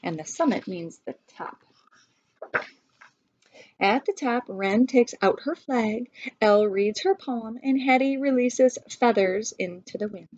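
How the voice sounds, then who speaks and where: Middle aged lady reading a kids book out loud, slow pace, highish voice, dull webcam audio. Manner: explanatory, pointing things out to children. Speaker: read-aloud teacher. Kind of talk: picture book read-aloud with asides. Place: small room at home.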